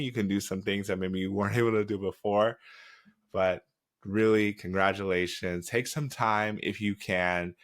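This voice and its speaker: A man's voice: deep voice, a bit bright. A young man from Nigeria, raised in the Midwest, hosting a solo podcast and giving encouraging career advice in a casual tone. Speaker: podcast host.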